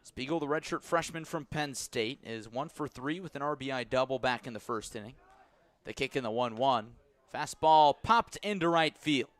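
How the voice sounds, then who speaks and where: A man with an American accent calling live baseball play-by-play on the radio, measured between pitches and rising on big plays. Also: no room sound.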